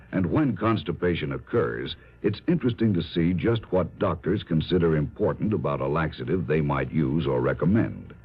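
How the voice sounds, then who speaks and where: A man's voice: a bass voice, slight background noise. An American man reading a commercial as a 1950s radio announcer. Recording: muffled vintage radio recording.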